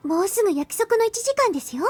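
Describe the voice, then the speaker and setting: A woman voicing a lively young female schoolmate in a visual novel. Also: extremely high pitch, very fast, faint music behind.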